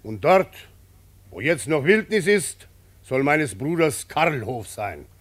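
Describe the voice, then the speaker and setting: An actor playing an old, plain-spoken peasant father in a radio play, with weighty, halting, declamatory phrasing. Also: fairly high pitch, big pitch swings, heavily acted. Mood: solemn, decreeing. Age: older.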